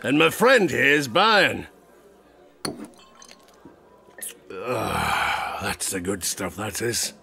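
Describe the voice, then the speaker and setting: An actor playing an old, garrulous sailor and former harpooner, telling tavern tales in rustic seafaring dialect for an audio drama. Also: male voice, on the low side, huge pitch swings, slow, vocal fry, pushed and bright, full character voice. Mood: jovial. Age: older. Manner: rustic sailor dialect, chuckling, conspiratorial asides. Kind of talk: theatrical audio drama storytelling.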